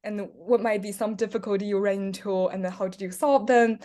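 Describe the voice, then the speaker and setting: A woman's voice: medium pitch, fairly even intonation, a little fast, dark tone. A young woman from China hosting a student Q&A on a video call, hesitant with many fillers. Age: young adult. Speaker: host, student club co-president. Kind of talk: casual moderating on a video call.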